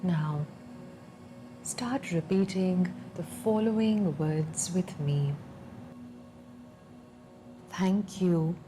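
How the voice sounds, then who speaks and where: A woman leading a guided meditation in a calm, soothing, measured way, leaving long pauses between phrases. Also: lowish voice. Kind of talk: slow guided meditation narration. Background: background music.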